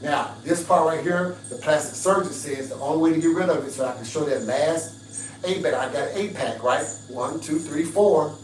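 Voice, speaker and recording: Raspy middle-aged male streamer, emphatic and preachy, roomy audio with a faint hum. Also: a little high, normal speed, far from the mic. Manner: preachy, emphatic, addresses viewers directly. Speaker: fitness livestreamer. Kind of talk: casual livestream pitch to viewers.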